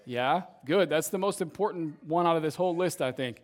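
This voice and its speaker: A young man with a somewhat high voice leads a church service as its youth pastor, preaching in a relaxed, conversational way. His voice is a little raspy from shouting earlier, and he keeps checking in with the congregation by tagging his points with "right?". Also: brisk. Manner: conversational, tags sentences with "right?". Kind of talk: casual sermon.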